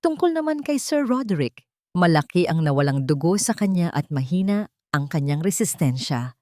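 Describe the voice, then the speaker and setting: A woman playing a tired, reassuring doctor in an audiobook drama, formal and gentle. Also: lowish voice, sing-song, moderate pace, dry recording, clean studio sound.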